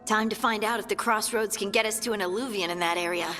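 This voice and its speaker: Woman playing a bright-voiced, practical scout. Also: medium pitch, quick pace, big-room sound, soft background music, lightly acted. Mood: practical, focused. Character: practical, brisk female scout companion. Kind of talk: video game dialogue.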